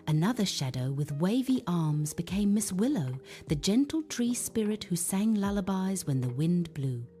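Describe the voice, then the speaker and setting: Slow, mellow woman telling a bedtime story. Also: fairly low pitch. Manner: gentle, hushed, unhurried. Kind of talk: soothing scripted children's bedtime story narration. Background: music.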